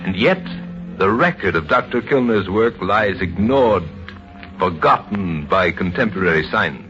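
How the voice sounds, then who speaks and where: A man giving grave, dramatic scripted narration in a suspenseful 1950s radio style, with deliberate emphasis. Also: sits low, animated, fairly bright tone. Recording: muffled vintage radio recording. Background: music.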